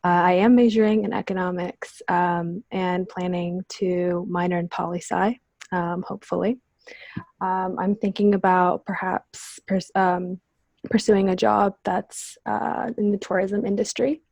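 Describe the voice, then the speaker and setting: Young woman with a gravelly voice, hesitant. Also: fairly low pitch, flat delivery, measured pace, a little mellow, no echo. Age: young adult. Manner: hesitant, many fillers. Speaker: panelist, college student. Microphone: video call.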